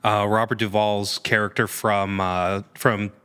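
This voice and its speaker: A man's voice: lowish voice, flat delivery, measured pace, bright, forceful tone, clean tone. A man chatting casually as a podcast guest, answering questions with joking asides.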